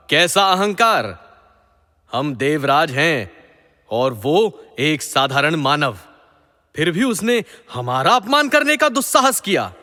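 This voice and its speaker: A proud god king of mythological radio drama declaims in a slightly high, bright male voice. His delivery is haughty and theatrical. The sound carries long hall reverb. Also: full character voice. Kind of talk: Hindi mythological audio drama acting.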